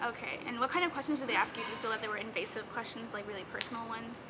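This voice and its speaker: A woman's voice: a little high, rapid-fire. A young woman interviewing a student, asking prepared questions in a casual, hesitant way full of "like" and "okay". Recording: background noise.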